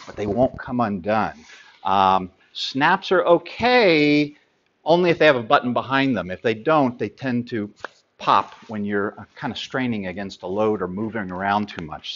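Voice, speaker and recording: A man's voice: on the low side, fairly bright tone, dull audio, a little hiss or hum. Middle-aged man explaining gear with ums. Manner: practical, hands-on, many ums and uhs while handling gear. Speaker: sailing gear expert. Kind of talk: product explainer.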